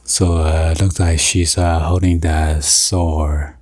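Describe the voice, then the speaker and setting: A man casually narrating an unboxing in a halting, hesitant way, as the host of the video. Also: extremely low pitch, little pitch movement, darkish tone, no room sound, clean studio sound. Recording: noisy, with crinkling packaging and clicks. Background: packaging crinkling and clicking.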